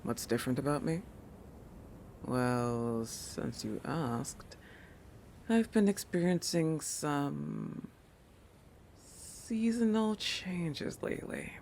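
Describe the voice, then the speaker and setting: A woman's voice: extremely low pitch, creaky, a little mellow, slight background noise, heavily acted. A woman voicing a proud, possessive young shark girl in a roleplay monologue, with a haughty, teasing manner that keeps correcting itself. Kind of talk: roleplay audio monologue addressed to the listener.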